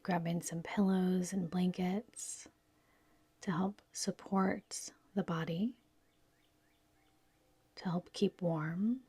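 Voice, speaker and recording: A woman with a soft, whispery voice gently guiding a yoga nidra relaxation in a soothing, unhurried manner. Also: fairly low pitch, slightly rough, dry recording. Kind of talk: guided relaxation meditation.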